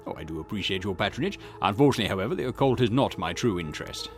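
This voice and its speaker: A man's voice: fairly low pitch, animated, racing, soft background music. A man voicing a conceited, irritable historian and occult researcher in a visual novel, pompous, snappish and condescending. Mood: lecturing, self-important. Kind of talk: acted visual novel dialogue.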